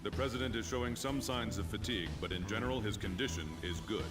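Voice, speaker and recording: A man's voice: lowish voice, quick pace, distant mic, over loud music, natural voice. A man playing a military officer, giving a formal report in a composed game-drama style.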